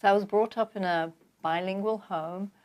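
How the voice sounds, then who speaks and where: An older woman from London with a London accent, calmly telling her life story as a guest in an interview. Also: mid-range voice. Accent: London English. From London, England. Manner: measured, reflective storytelling. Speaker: guest author. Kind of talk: conversational interview.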